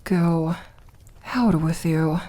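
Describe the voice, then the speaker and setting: A woman voicing a lonely, guarded yet tender immortal vampire girl in an intimate ASMR roleplay, speaking softly and haltingly. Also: low voice, exaggerated voice. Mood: curious, teasing. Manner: soft, hesitant, halting delivery with sighs.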